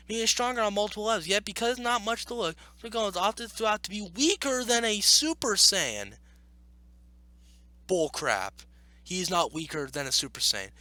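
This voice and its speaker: A man hosting a video, reading aloud and arguing back with blunt, opinionated off-the-cuff commentary in a halting, self-correcting way. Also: high voice, pushed and bright, dry recording. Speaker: video host reacting to an article.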